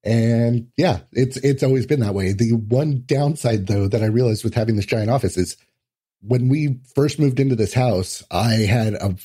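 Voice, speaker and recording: A man's voice: medium pitch, moderate pace, mellow, slightly rough. A man speaking as a podcast guest in offhand, casual chat with some swearing.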